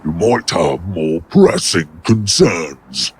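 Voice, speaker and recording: A man acting a cryptic, grave male mentor with a husky voice in an audio drama. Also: low-pitched, huge pitch swings, slow, heavily acted.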